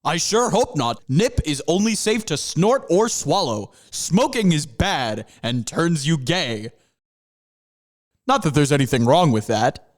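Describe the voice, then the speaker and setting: A man's voice: fairly high pitch, big pitch swings, a little slow. A man voicing a cheerful male panther mascot who is a scientist, lecturing his students in a proud, upbeat way. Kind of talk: amateur comedy audio drama.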